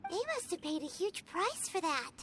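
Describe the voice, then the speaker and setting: A woman's voice: very high-pitched, lively intonation, bright, forceful tone, a little hiss or hum, exaggerated voice. A woman voicing a chirpy, blunt little floating girl companion in a video game cutscene. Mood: curious. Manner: bouncy, indignant, quick to retort. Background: game music.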